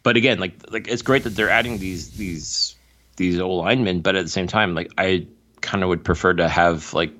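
A man's voice: deep voice, steady tone, normal speed, fairly bright tone. A man from Montreal co-hosting a casual sports podcast, talking at length with opinionated, analytical takes on football. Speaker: podcast co-host.

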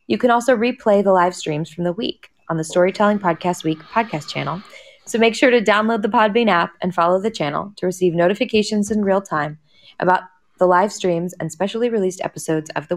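A woman host delivering a scripted promotional introduction for a live session. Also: medium pitch.

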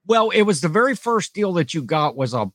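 A man's voice: sits high, big pitch swings, brisk, fairly bright tone, slight background noise. A man co-hosting a casual podcast, telling a long story with lots of restarts and acting out what other people said. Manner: rambling storyteller, acts out others' lines. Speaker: podcast co-host. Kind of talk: casual chat.

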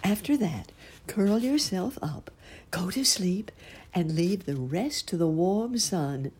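A woman voicing a gentle, motherly monarch butterfly in a storybook reading. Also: on the low side, expressive, takes it slowly, slightly rough, pitched above the performer's own voice, exaggerated voice. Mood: instructing.

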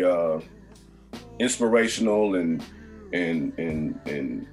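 A man hosting a podcast interview, talking in a casual, halting way with many fillers and restarts. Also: on the low side, a little mellow. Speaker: podcast host.

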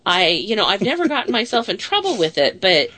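A woman in her late forties hosts a talk show in a casual, chatty way. She pokes fun at herself and laughs easily, with a middle-pitched voice. The studio sound is dry and close. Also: big pitch swings, brisk, pushed and bright, dry recording. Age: 40s. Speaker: radio show host.

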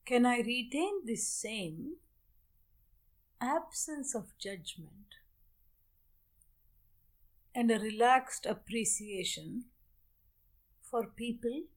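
A woman leading a guided meditation as a teacher, giving measured instructions in short phrases with long pauses. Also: on the high side, expressive.

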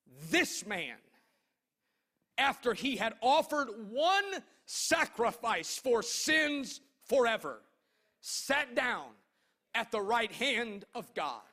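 A man's voice: extremely high pitch, sing-song, takes it slowly, bright, forceful tone. A man preaching a sermon as a pastor, emphatic and declamatory, stretching out his phrases for weight. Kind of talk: impassioned sermon.

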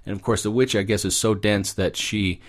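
Low-pitched man explaining stories conversationally. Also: steady tone, brisk. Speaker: guest expert, psychology professor. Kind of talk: conversational explanation of fairy tales.

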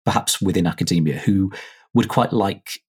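Man, very deep voice, husky, measured interviewer. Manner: measured, hedging. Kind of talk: conversational interview.

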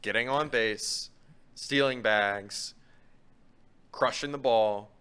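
Casting: male sports co-host, medium pitch, bright crisp voice, casual conversational delivery. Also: slow pace.

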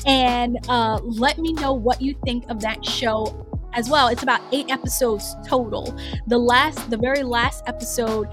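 A woman's voice: on the high side, fairly even intonation, measured pace, over music. A woman hosting a casual solo podcast, a little nasal from a cold, talking in a chatty, rambling way with restarts. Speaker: podcast host.

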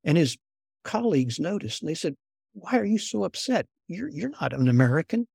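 A soft-spoken older man tells stories at an unhurried pace, often repeating a word as he restarts a thought. His voice sits a little high and has a gravelly edge. The sound is dry and close. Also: very animated, no room sound. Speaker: guest, former intelligence officer. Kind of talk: conversational storytelling.

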